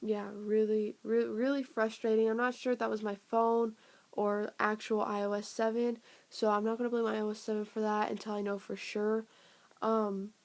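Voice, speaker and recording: Sniffly young woman with a flat voice. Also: mid-range voice, normal speed. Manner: rambling, filler-heavy, trailing off. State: sick with a cold, raspy and sniffly. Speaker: tech vlogger. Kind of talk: casual phone review vlog.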